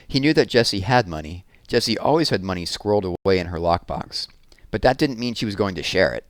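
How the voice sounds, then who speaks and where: A man narrating a children's chapter book aloud as an expressive storyteller. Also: low voice, quick pace, no echo.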